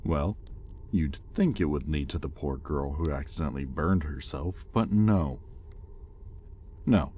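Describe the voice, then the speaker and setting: A man playing an exasperated, possessive demon master in an audio roleplay, sardonic yet grudgingly caring, speaking with pointed pauses. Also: rumbling low, dark tone, a little hiss or hum. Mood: stern, troubled. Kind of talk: intimate audio roleplay, dramatic monologue.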